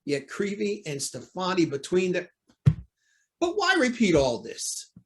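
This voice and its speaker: An actor playing a proud, anxious, cornered judge in an old-time radio drama, speaking in a formal, indignant, theatrical way. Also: male voice, high voice, huge pitch swings, unhurried, exaggerated voice. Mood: bitter, defensive.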